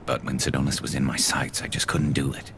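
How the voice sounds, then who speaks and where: A man playing a loyal, battle-weary male alien soldier in a video game, with a dry, wry, measured delivery. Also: a bass voice, flat delivery, noisy background. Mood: brooding, conflicted.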